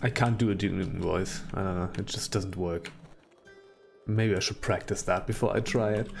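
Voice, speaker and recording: A man's voice: low-pitched, steady tone, moderate pace, creaky, pauses often, faint music behind. A man with a German accent giving casual, rambling commentary while playing a video game, chatty and self-deprecating. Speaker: let's play host.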